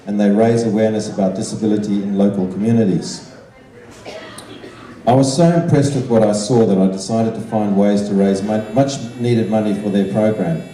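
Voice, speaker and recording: An older man giving a measured, formal prepared speech to an evening gathering. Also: lowish voice, mellow. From Zimbabwe. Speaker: guest speaker, art teacher and children's author. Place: large indoor function room. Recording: roomy, echoing hall sound, distant microphone.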